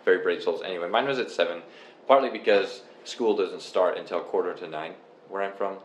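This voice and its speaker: Deep-voiced man, easygoing rambling chat. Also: fairly quick, lo-fi sound. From Canada. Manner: relaxed, rambling, explains step by step. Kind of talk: casual chat. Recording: echoey room with faint noise.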